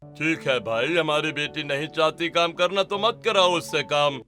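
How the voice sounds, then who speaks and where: A man performs a doting father from a family cartoon. His voice is bright and somewhat high, with an indulgent, protective way of talking. Soft music sits behind him. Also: normal speed. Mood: indulgent, reassuring. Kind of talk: Hindi family cartoon story acting.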